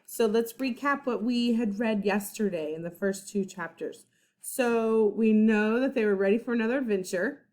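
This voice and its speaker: Higher-voiced woman teacher reading to young children. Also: moderate pace, darkish tone. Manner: explaining simply, checking understanding. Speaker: first-grade teacher. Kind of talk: reading a children's book aloud with recaps.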